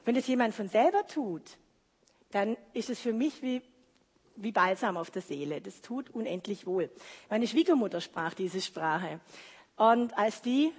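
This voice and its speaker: A woman's voice: mid-range voice, animated, measured pace, darkish tone, breathy edge. A woman preaching a sermon in a conversational, explaining way, mixing in personal anecdotes. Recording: reverberant hall.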